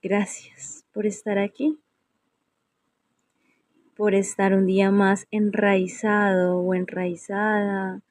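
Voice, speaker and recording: A woman narrates a guided meditation at a very slow pace. Her tone is mellow and relaxed, and she leaves serene pauses between phrases. The sound is dry, with little room on it. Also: medium pitch, dry recording. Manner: unhurried, serene, with long pauses.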